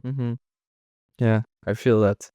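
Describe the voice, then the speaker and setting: A man talks as an interviewer in a casual, personal style. His voice is smooth and medium in pitch, with some hesitant phrasing. The recording is dry and close. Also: steady tone, slow, warm tone, dry recording. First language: Dutch. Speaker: podcast host, interviewer.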